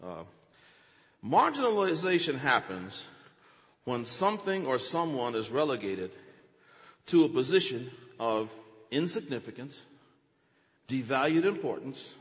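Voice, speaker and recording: A man's voice: mid-range voice, big pitch swings, a little slow, pauses often, long reverb tail, muffled recording. A man preaching a teaching sermon, dramatic, acting out quotes with big swings in his voice.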